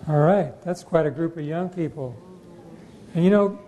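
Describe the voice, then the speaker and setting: A man's voice: on the high side, moderate pace, dark tone, rough recording. An older man, a pastor, introducing a group and leading prayer in a church sanctuary with a handheld mic, in a jovial, joking way.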